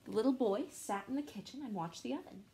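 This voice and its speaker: High-voiced young woman reading a storybook. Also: fast, a little hiss or hum, low-quality recording. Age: young adult. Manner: expressive, playful. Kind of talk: animated children's storybook read-aloud. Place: small living room at home.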